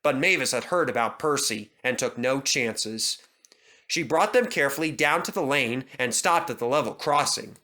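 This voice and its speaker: Bright, lively male storybook narrator. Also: mid-range voice, normal speed. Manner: lively, expressive. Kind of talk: storybook reading.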